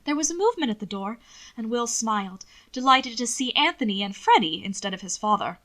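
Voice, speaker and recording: A woman's voice: a little high, expressive, fairly quick, a bit bright. A woman reading scripted fiction as an audiobook narrator. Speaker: podfic narrator. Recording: occasional static crackle from microphone.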